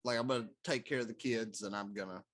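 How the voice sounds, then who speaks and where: A man's voice: lowish voice, fairly even intonation, fast, a bit bright. A middle-aged man co-hosting a talk show in casual, conversational style. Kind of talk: casual chat about pop culture.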